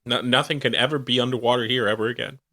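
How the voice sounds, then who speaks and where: A man's voice: medium pitch, steady tone, quick pace, bright, forceful tone. A man hosting a radio show, chatting casually and introducing songs in an informal, laid-back way. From Canada. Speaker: community radio host.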